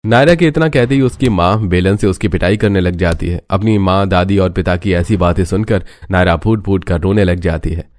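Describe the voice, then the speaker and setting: A man's voice: deep voice, normal speed, hardly any pauses, darkish tone. A man reading a scripted story as its narrator.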